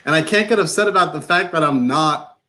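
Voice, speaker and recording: A man playing a frustrated, incredulous novice farmer in a live stage play reading, with halting, colloquial delivery. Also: a little high, pushed and bright. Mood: forced calm, exasperated.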